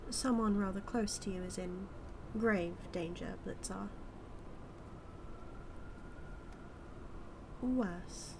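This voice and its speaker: A woman's voice: mid-range voice, gravelly, noisy background. A woman with a British accent acting as a smug female supervillain who mocks and teases her hero nemesis in a theatrical, drawling audio roleplay monologue. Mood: menacing, mocking. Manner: mocking, theatrical, drawling with drawn-out words.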